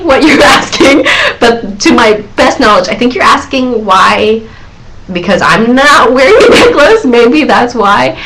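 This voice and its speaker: A woman's voice: fairly high pitch, fairly bright tone, a little hiss or hum, poor audio. A woman in her thirties hosting her own live question-and-answer stream, chatting loosely with lots of giggles, fillers and restarts.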